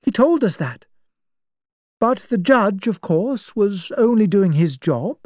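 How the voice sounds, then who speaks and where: Older spinster, stern and unbending, rather dark tone; a woman narrator does her. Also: mid-range voice, sing-song, takes it slowly, no echo, studio quality, voice pushed up. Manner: prim, unbending, judgmental.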